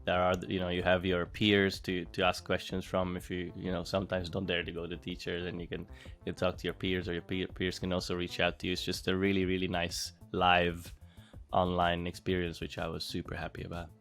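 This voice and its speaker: A man's voice: low voice, monotone, a little fast, fairly bright tone, soft background music. A man from Hungary in his thirties answering interview questions in a casual, thoughtful way, with frequent fillers and restarts. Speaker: interviewee, former bootcamp student.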